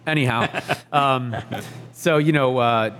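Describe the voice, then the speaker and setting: A man in his thirties, a chef, talking in a relaxed, easygoing way as an interview guest, with many fillers and restarts. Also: medium pitch, takes it slowly, a little hiss or hum. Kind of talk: casual reflective interview.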